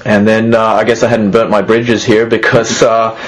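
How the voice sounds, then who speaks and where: A man's voice: on the low side, little pitch movement, moderate pace, poor audio. A man in his thirties, originally from Australia, answering interview questions hesitantly with many fillers and restarts. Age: 30s. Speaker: museum executive, interviewee.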